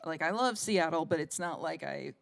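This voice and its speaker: A woman's voice: deep voice, normal speed, vocal fry, dry recording. A woman pianist and composer chatting as a guest in an interview, laughing often and trailing off with fillers. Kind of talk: casual interview conversation.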